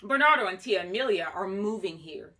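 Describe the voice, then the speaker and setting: A woman's voice: mid-range voice, lively intonation, a little fast, pushed and bright. A woman voicing a serious, bossy, slightly irritated mother in a children's storybook, firm and no-nonsense. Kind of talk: storybook read-aloud dialogue.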